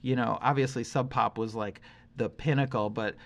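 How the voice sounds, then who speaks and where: Male podcast host, forties, average pitch. Conversational music talk, opinionated and emphatic, wanders through his points. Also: steady tone, moderate pace, gravelly. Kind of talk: casual conversational music discussion.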